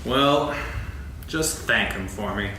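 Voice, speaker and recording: Man playing a gloomy deadpan donkey in an echoey hall. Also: lowish voice, slow pace. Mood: sarcastic. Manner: deadpan, dry pessimism. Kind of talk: staged reading of a children's play. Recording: echoey, noisy hall recorded from far off.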